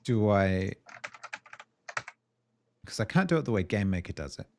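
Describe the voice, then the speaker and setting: A man's voice: lowish voice, sing-song, normal speed, a little mellow, slight background noise. A man with a New Zealand accent, streaming while he codes, chatting with viewers and thinking aloud. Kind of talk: live coding stream commentary. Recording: keyboard typing clicks behind.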